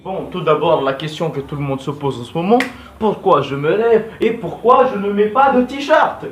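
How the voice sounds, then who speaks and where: A man's voice: high-pitched, expressive, moderate pace, over music. A male host giving casual, rambling and blunt fan commentary in an informal vlog. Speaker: video host.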